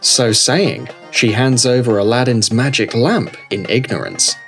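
A man's voice: mid-range voice, animated, measured pace, a bit bright. A man reading scripted narration for a children's fairy tale. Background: background music.

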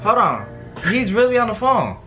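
A man's voice: sits high, wildly swooping pitch, normal speed, fairly bright tone, lo-fi sound, soft background music. A young man hosting a reaction video in casual, slangy chat, repeating himself for emphasis. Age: young adult. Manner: slangy, informal, repetitive. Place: indoor room at home.

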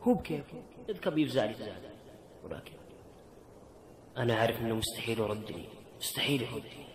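A man's voice: medium pitch, expressive, fast talker. A young man, fretting aloud to himself in an acted skit on an outdoor rooftop. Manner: fretful, talks to himself. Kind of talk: acted self-talk in a comedy skit.

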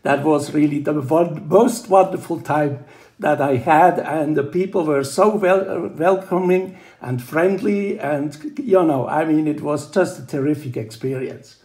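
A man's voice: on the high side, a little mellow. Older Swiss man on the phone. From Switzerland. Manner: halting, restarts often. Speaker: guest, scientist. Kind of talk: phone interview. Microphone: telephone.

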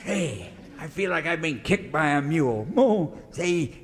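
High-pitched, cantankerous old cowboy cook. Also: male voice, animated, measured pace. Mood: indignant. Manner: folksy, blustering rustic talk. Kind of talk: parody of old-time western radio serial acting.

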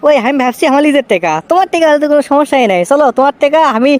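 A man's voice: very high voice, lively intonation, rapid-fire, noisy background. A man voicing a whiny, sulky young boyfriend in a comic cartoon, with a Bogura accent from northern Bangladesh. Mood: scheming, evasive. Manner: pleading, stubborn, breaks into teasing song.

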